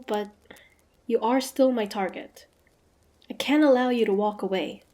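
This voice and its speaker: A woman's voice: on the high side, dark tone, natural voice. A woman playing a proud, suspicious young female hunter in a fantasy audio roleplay, guarded and easily flustered, with a grudging tsundere streak. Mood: defiant, threatening.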